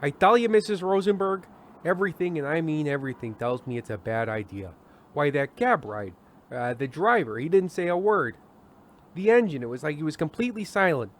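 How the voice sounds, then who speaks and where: An actor playing a glum, fretful middle-aged variety show host in a radio play, with a halting, stammering delivery. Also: male voice, on the high side, big pitch swings. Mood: agitated, fretful. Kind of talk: old-time radio play acting.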